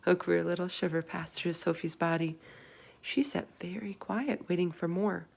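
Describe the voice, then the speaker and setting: A woman's voice: lowish voice, steady tone, normal speed, vocal fry, a little mellow. A woman reading a children's storybook aloud as scripted narration. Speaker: storybook reader.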